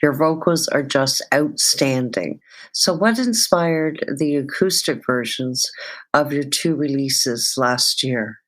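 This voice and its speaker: A woman's voice: a bass voice, slow, poor audio. A woman hosting a podcast, reading scripted introductions and putting questions to guests. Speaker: podcast host.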